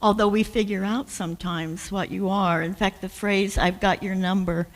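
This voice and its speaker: Soft-spoken woman minister, reverberant hall. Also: mid-range voice, steady tone, normal speed, slight background noise. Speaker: guest minister and teacher. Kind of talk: explaining a teaching on stage. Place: church sanctuary. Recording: hall reverb from a large sanctuary.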